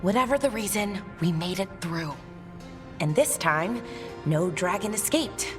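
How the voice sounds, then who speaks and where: Woman as game adventurer, hopeful, practical, medium pitch, music behind. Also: animated, a little slow, close to an everyday voice. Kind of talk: video game dialogue.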